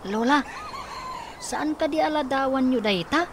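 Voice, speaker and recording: A woman's voice: high-pitched, a little slow. A woman playing a caring big-brother boy in an Ilocano radio drama, with an Ilocano accent. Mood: earnest, puzzled. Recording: faint background noise.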